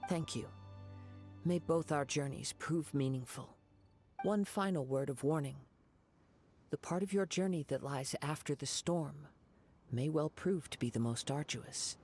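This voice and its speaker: A man voicing a calm, poetic young wandering swordsman in a video game, with soft, measured, formal speech. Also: on the high side, soft background music. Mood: sincere.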